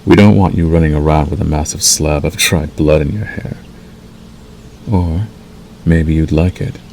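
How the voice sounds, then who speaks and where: A man voicing a possessive werewolf lover in intimate ASMR roleplay, menacing yet tender, coaxing slowly and soothingly. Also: very deep voice, gravelly, slight background noise. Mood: teasing, possessive. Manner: slow, soothing, coaxing with dark undertone.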